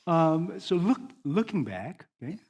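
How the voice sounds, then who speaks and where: Man, pastor, animated and teasing, halting questions, fairly high voice. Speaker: pastor interviewing a congregant. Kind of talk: church stage interview.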